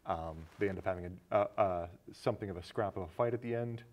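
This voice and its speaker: A man's voice: sits low, fast talker, muffled recording. A man in his thirties talking casually about a film as co-host of a discussion show. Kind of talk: casual movie discussion, retelling a plot. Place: small indoor studio set with curtains.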